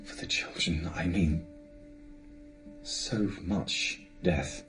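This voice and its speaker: An actor playing an evasive, well-to-do bachelor in a period audio drama, halting and stammering as he speaks apologetically. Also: low voice, steady tone, a bit bright, soft background music, exaggerated voice. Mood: persuasive, uneasy. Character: evasive, well-to-do bachelor gentleman, uncle of orphans.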